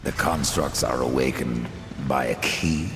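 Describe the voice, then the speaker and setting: Grim horseman: gravelly, very deep, dry and sardonic, game score underneath. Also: music in the background. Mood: probing. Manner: dry, questioning, measured. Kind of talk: video game cutscene acting. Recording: game score playing behind.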